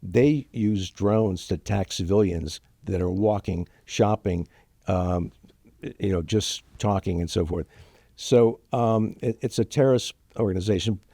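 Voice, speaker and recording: An older man, born in Germany to Ukrainian parents with Ukrainian as his first language, telling his family story in a halting, emotional way with many fillers and restarts. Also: deep voice, mellow, no room sound. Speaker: guest. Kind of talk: interview, personal story.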